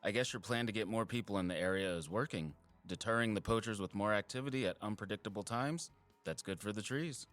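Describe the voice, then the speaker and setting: An actor playing an earnest, caring male wildlife surveyor in an audio drama, casual, sincere and expressive. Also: on the low side, fairly quick, soft background music, lightly acted. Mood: concerned, curious. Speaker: habitat surveyor.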